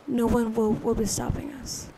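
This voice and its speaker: A woman playing a gentle, protective big sister comforting a child to sleep in a soothing, hushed ASMR roleplay, close to the microphone. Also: fairly high pitch, flat delivery, normal speed, noisy background, close to an everyday voice. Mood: reassuring, protective.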